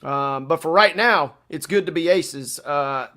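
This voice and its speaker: High pitched guy cohosting sports radio, chatty and playful with the slang. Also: unhurried, bright, forceful tone. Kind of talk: casual sports talk commentary.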